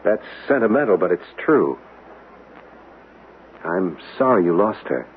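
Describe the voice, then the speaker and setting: A polite bachelor, speaks in a fairly low voice, gentle and hesitant. He sounds sentimental and curious, in radio drama style, on a tinny broadcast with faint noise. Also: big pitch swings, a little slow. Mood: tender sincerity. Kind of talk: radio mystery drama acting. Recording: noisy, tinny old radio broadcast recording.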